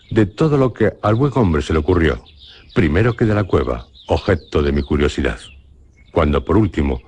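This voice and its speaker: A man with a Spanish accent narrating a radio drama in a measured, literary storytelling manner. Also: deep voice, a little mellow, slightly rough. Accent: Spanish from Spain.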